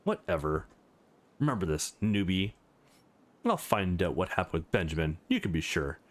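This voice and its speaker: A man's voice: low voice, very animated, normal speed, voice pushed down. Man voicing a sneering young male bully, menacing. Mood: threatening. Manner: sneering, threatening.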